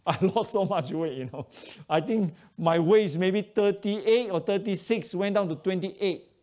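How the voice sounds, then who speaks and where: Malaysian guy telling his testimony at church, choppy english, fairly high voice. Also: slow pace. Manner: broken English, animated storytelling. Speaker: preacher giving testimony. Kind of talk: testimony sermon.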